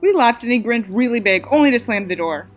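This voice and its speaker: Woman doing a snarky dramatic story reading, highish swoopy voice, music underneath. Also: animated, a little fast. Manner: sarcastic, theatrical. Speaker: reader and commentator. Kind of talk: mocking dramatic reading with sarcastic asides.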